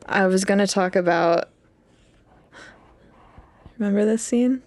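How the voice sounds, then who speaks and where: A woman's voice: mid-range voice, measured pace, a little mellow. A young woman co-hosting a podcast in casual chat, informal and peppering her speech with "like". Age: young adult. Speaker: podcast co-host.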